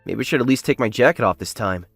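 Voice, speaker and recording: A man's voice: medium pitch, animated, quick pace, creaky, a bit bright, breathy edge, faint music behind, full character voice. A man playing a modest, plain-spoken young factory worker who narrates his own story in a manga dub. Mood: polite, earnest. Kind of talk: English manga dub acting and first-person narration.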